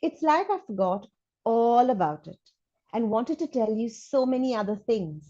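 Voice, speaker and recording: A woman voicing a chatty, frank, excitable school child in an animated storybook read-aloud, gushing with dramatic emphasis. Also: fairly high pitch, normal speed, lo-fi sound, voice pushed up. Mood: admiring, candid.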